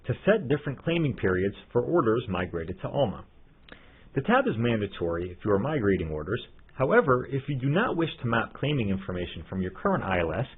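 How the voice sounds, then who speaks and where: A man narrating a scripted software tutorial as an instructor, in a methodical, step-by-step way. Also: mid-range voice, breathy edge. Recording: muffled, phone-like narrow-band audio.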